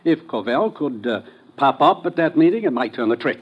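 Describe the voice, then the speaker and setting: An American actor playing an authoritative government commissioner giving grave, deliberate briefings in a 1950s radio drama. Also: male voice, medium pitch, animated, slightly rough. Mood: businesslike, grave. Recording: dull, lo-fi vintage radio recording.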